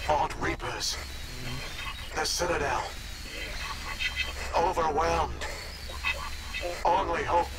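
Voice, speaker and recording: A man voicing a damaged, desperate ancient alien warning in a video game, halting fragments through a degraded transmission effect. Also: on the high side. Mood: desperate, urgent. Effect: degraded transmission filter. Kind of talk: scripted video game dialogue. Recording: garbled transmission under loud noise.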